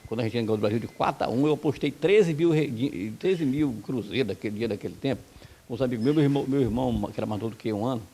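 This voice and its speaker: Fast, gravelly, blunt Brazilian man. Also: medium pitch, mellow. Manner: blunt, heated, rapid-fire complaints. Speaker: football coach. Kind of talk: opinionated interview answers.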